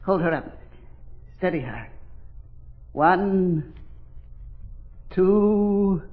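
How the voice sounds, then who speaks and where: An actor playing a sadistic, gloating murderous doctor in theatrical 1930s radio style. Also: male voice, high voice, lively intonation, extremely slow, heavily acted. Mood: sinister relish. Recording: muffled old 1930s radio recording.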